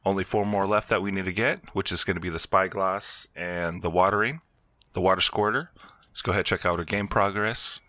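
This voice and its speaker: A man's voice: sits low, fairly even intonation, fairly quick, bright, forceful tone, no echo. A man hosting a video game walkthrough, explaining each step in an instructional way. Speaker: gameplay video host.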